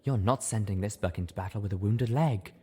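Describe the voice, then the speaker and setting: A man voicing a refined, caring but fussy mare who is quick to scold, in a story reading. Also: lowish voice, dark tone, slightly rough. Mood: prim, concerned.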